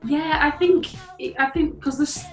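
A woman's voice: high-pitched, steady tone, measured pace. A woman, a guitarist chatting casually on a video call, full of fillers. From England. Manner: chatty, many fillers, rising on openings. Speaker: guitarist in a band, interviewee. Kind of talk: casual interview chat. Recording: echoey room with faint music.

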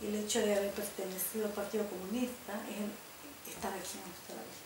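A woman in her sixties from El Salvador with a Salvadoran Spanish accent, recounting her life in a living room interview, reflective and halting, often repeating her starts. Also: medium pitch, level tone. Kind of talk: personal life-story interview. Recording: roomy home video with faint hiss.

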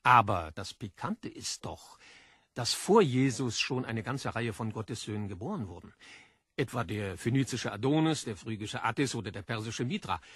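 A learned literary editor lectures with great self-assurance in a radio play. His voice swings up and down in a pompous, didactic way, slightly husky and dry. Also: mid-range voice, fairly bright tone, no echo.

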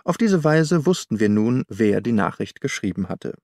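A man's voice: mid-range voice, big pitch swings, normal speed, a little mellow, dry recording. Man narrating an audiobook, dry studio sound. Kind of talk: scripted literary narration, first-person detective story.

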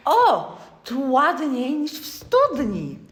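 A woman's voice: high-pitched, huge pitch swings, very slow, exaggerated voice. A young schoolgirl playing a curious, dreamy female toad in a radio play, with theatrical exclamations. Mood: delighted, wondering. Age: child or young teen schoolgirl.

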